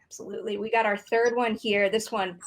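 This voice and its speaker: A woman's voice: medium pitch, steady tone, brisk. A woman hosting a podcast interview, articulate and enthusiastic, moving between prepared introductions and questions. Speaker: host.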